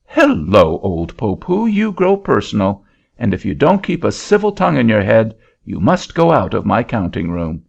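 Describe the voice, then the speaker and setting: A man doing a gruff, curt auctioneer. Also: fairly low pitch, huge pitch swings, normal speed, exaggerated voice. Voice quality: blunt, curt. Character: gruff, dismissive male auctioneer. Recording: dull lo-fi recording.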